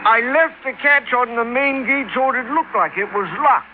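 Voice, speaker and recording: A scared, simple American gateman in an old radio crime drama. His high voice whines and pleads in ungrammatical speech. It comes through a tinny, noisy fifties recording. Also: moderate pace. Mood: anxious, pleading. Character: frightened, pleading, simple-minded male plant gateman. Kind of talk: 1950s radio drama acting.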